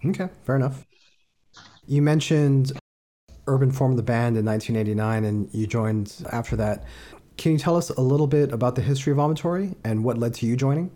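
Mellow-voiced male podcast interviewer. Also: medium pitch, brisk. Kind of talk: interview questions.

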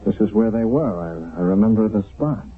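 An actor playing a weary, troubled American army sergeant in a wartime radio drama from the 1970s. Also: male voice, lowish voice, lively intonation, brisk, husky. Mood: grim, troubled. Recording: muffled narrow-band 1970s radio broadcast.

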